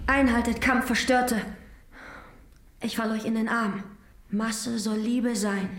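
A woman's voice: a little high, fairly even intonation. Impassioned revolutionary woman, roomy sound. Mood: impassioned pleading. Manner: impassioned, deliberate, pausing delivery. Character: idealistic revolutionary woman of privileged upbringing, pleading against vengeance. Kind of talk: solemn declamatory stage acting. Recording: roomy sound with loud music underneath.

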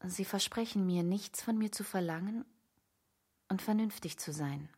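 A woman's voice: medium pitch, moderate pace, breathy edge. An actress playing a coquettish married woman of about thirty, torn and imploring as she holds off a lover in a radio drama. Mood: guarded. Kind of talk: literary radio drama acting. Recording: loud music under the voice. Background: music.

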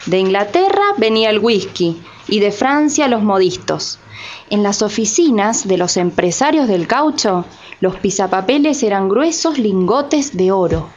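A woman's voice: mid-range voice, expressive, a little slow, a little mellow. A woman narrating a radio drama from a script. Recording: slightly muffled, faint background noise.

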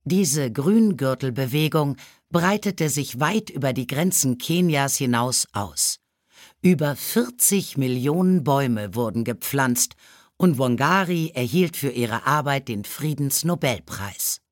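A man reading scripted biographical stories for children as an audiobook narrator, measured and clearly articulated. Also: medium pitch, animated, dry recording, clean studio sound.

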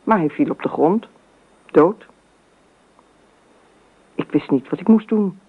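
An actress playing a dreamy, imaginative, talkative wife in a radio drama, with expressive theatrical delivery. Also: female voice, lowish voice, slow pace, breathy edge, full character voice. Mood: recounting, indignant. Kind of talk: radio drama monologue and dialogue. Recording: tinny, narrow old radio recording.

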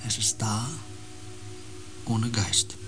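A man playing a haunted, intense empath and space explorer in a radio drama, brooding and halting in fragmented phrases. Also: lowish voice, flat delivery, over music. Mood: haunted, hushed.

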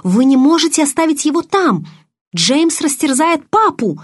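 A woman's voice: fairly high pitch, fairly quick, a little mellow, breathy edge, voice pushed up. A woman reading an audiobook, voicing a frightened, furious and stubborn teenage girl who pleads insistently. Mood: furious, desperate.

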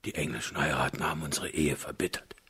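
Dying old prussian king in radio drama, super deep raspy voice, bitter, struggles to get words out. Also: fairly bright tone, full character voice. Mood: remorseful. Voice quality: gravelly, husky, breathy. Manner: halting, labored speech. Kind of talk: radio play acting.

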